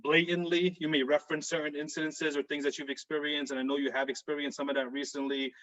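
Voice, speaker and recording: A man hosting an interview, speaking quickly in a casual, hedging way and often restarting his sentences. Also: on the high side, level tone, a bit bright. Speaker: host. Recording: dull lo-fi sound.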